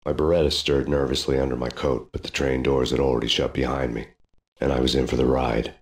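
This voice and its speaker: An actor playing a brooding, world-weary hardboiled cop who narrates his story in a deadpan noir style. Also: extremely low pitch, fairly quick.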